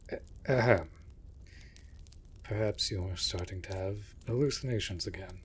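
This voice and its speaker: A man playing a protective vampire master in a roleplay audio drama, speaking formally and gently, often dropping to a breathy murmur. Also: lowish voice, slight background noise, lightly acted. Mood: flustered, tender. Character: courtly, protective male vampire master of a manor. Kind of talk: scripted roleplay audio drama addressed to the listener.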